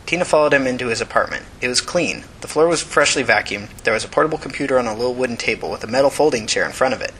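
A man's voice: medium pitch, lively intonation, rapid-fire, hardly any pauses, fairly bright tone, slight background noise. A man reading a scripted novel as narrator.

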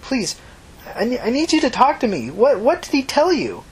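A woman's voice: fairly high pitch, wildly swooping pitch, normal speed, husky, voice pushed up, exaggerated voice, rough recording. A woman voicing a desperate, stammering teenage runaway girl in an emotional audiobook story. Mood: desperate pleading. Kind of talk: audiobook character dialogue.

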